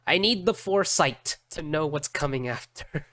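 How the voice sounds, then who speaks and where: A man giving casual reaction commentary as a streamer, halting and often repeating his words. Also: fairly high pitch, lively intonation, pushed and bright, dry recording.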